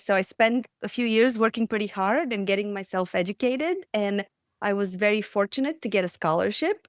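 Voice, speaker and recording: A woman's voice: mid-range voice, clear, resonant tone, dry recording. Middle-aged Bulgarian-accented woman, podcast guest, earnest storyteller, dry recording. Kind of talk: storytelling interview.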